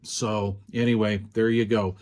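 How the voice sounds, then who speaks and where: A man's voice: fairly low pitch, flat delivery, unhurried, darkish tone. A man casually demonstrating and reviewing a small walkie-talkie in an easygoing, rambling way, with frequent ums and uhs. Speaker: radio product reviewer. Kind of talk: casual hands-on product demo.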